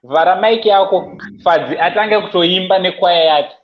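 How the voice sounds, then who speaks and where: A high-voiced man in his thirties hosts a birthday livestream from his couch, playful and quick to tease. His earphone mic picks up a roomy echo and dull, lo-fi call audio. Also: slight background noise. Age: 30s. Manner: playful, teasing, laughs often. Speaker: livestream host. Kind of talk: casual birthday livestream chat. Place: indoors at home, seated on a couch. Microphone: earphone headset mic on a video call.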